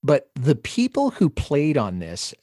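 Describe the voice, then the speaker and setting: A man's voice: on the high side, wildly swooping pitch, measured pace, dark tone, dry recording, clean studio sound. A man hosting a podcast, talking conversationally and in detail about music. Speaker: podcast host.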